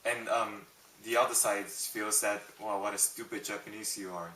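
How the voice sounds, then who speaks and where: A man's voice: on the low side, steady tone, moderate pace, bright, forceful tone, a little hiss or hum. A young man from Taiwan answering interview questions, hesitant, with many ums and restarts. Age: young adult. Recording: roomy, distant mic.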